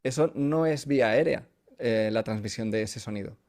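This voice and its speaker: Spanish man explaining things, medium-pitched voice. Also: normal speed, darkish tone. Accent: Spanish from Spain. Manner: explains with everyday examples and check-in questions. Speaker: voice coach, podcast guest. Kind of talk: casual explanatory interview chat.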